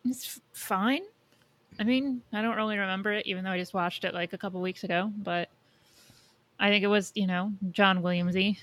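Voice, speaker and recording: Woman podcaster, mid-pitched, very dry sound. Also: steady tone, a little fast, no room sound. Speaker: podcast co-host. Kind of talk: casual pop-culture chat.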